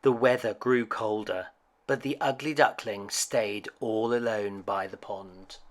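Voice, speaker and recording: A man reading a children's picture book aloud as a storyteller, with an expressive, deliberate delivery. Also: on the low side. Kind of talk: scripted children's story narration.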